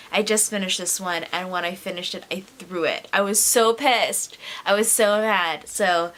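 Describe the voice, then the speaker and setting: A young adult woman talking straight to her camera in a rambling, expressive stream-of-consciousness vlog, with lots of "like". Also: medium pitch. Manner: rambling and expressive, heavy use of "like", sudden laughs. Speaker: YouTuber.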